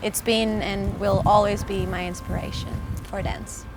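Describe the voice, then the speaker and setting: Lady dancer talking slowly in an interview, music playing behind her. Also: medium pitch, little pitch movement. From Portugal. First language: Portuguese. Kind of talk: reflective interview talk. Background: background music.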